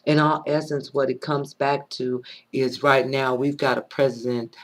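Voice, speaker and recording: A woman with a rough voice giving an earnest, preachy monologue, pausing often and repeating her words as she thinks aloud. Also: extremely low pitch, flat delivery. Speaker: vlogger sharing personal views. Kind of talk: unscripted spoken monologue.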